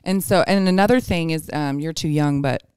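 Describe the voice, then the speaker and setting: A woman's voice: deep voice, a little fast, a little mellow, clear, resonant tone, no room sound, studio quality. A woman in her forties chatting casually and candidly, with a self-deprecating way of talking. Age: 40s. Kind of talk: casual chat.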